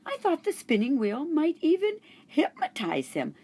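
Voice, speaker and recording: A woman reading a children's chapter book aloud, narrating in first person with an expressive storytelling voice. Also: high-pitched, normal speed. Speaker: storybook reader.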